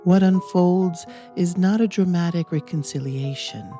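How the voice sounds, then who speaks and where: A podcast host narrates a scripted story in a mellow, relaxed voice. The delivery is slow, measured and reflective, with music underneath. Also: medium pitch.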